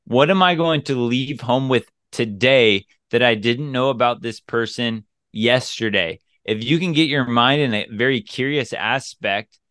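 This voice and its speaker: A man co-hosting a podcast, explaining dating conversation tips in a relaxed, chatty way. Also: medium pitch, clean tone, dry recording, studio quality. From United States. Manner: relaxed, explanatory, repeats himself to dig in. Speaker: podcast co-host. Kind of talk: casual advice talk.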